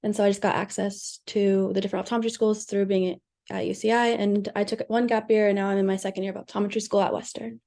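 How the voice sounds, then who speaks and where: Fast-talking young woman, even tone. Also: medium pitch, vocal fry. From Sacramento, California. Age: 20s. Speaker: optometry student.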